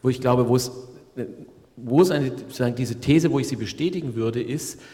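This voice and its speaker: Brief: male politician as panel guest, halting answers, mid-pitched, echoing hall. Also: dark tone. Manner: hesitant, many fillers and restarts. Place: conference stage. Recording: reverberant hall.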